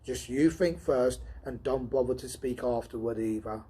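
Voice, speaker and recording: A man voicing a cool, sardonic male roboticist in a storybook reading, speaking in an even, dry, cold way. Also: medium pitch, normal speed, husky, close to an everyday voice.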